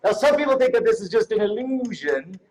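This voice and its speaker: A man's voice: high voice, very animated, a little fast, a little mellow, poor audio. A man doing joking, teasing showman patter as a magician and presenter at a live event. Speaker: stage magician co-presenting an awards show. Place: live event stage before an audience.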